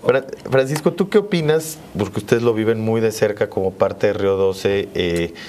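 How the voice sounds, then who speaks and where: A man's voice: lowish voice, normal speed, darkish tone, a little hiss or hum. A man with a Mexican accent hosting a conversational panel interview in a studio, repeating words as he forms his questions. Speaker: host.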